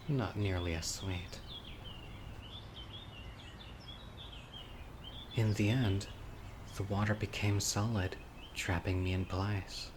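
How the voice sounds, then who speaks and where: A man acting a critically ill, wistful and obsessive young man in an intimate, earnest audio roleplay monologue, musing softly to his date. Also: low voice. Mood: dreamy, obsessive. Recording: clear outdoor insect and bird noise. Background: birdsong, crickets and insects of a lakeside.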